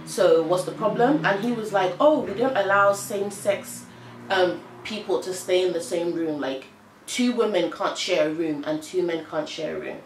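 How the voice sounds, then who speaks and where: A young woman with an English accent talks frankly to camera in an animated, exasperated way. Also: on the low side, normal speed, echoey room, slight background noise, poor audio. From United Kingdom. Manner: animated, frank, exasperated storytelling with hand gestures. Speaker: vlogger. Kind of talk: casual chat to camera. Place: small indoor room, on a sofa.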